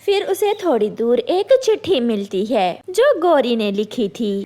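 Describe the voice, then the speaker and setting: A woman's voice: on the high side, sing-song, measured pace, warm tone. A woman narrating a scripted children's cartoon tale as its storyteller.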